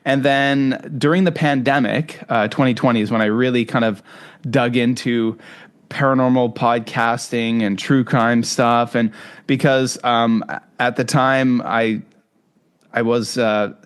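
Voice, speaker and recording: A man's voice: mid-range voice, fairly even intonation, a little slow, clear, resonant tone. A man from Canada with a Canadian accent, hosting a podcast in a conversational interview style. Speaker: podcast host.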